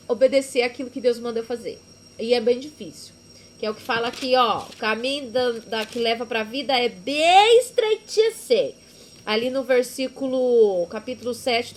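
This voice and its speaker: Chatty Brazilian woman, lively high voice. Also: slight background noise. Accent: Brazilian Portuguese. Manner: chatty and rambling, self-correcting, laughing at herself. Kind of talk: casual devotional vlog talk.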